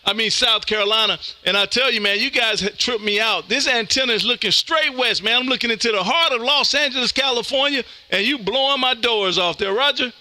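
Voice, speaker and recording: A loud, folksy man from Mississippi hosting amateur radio contacts with an exuberant, chatty on-air delivery. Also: extremely high pitch, fairly quick, hardly any pauses, slight background noise. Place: indoor home radio room.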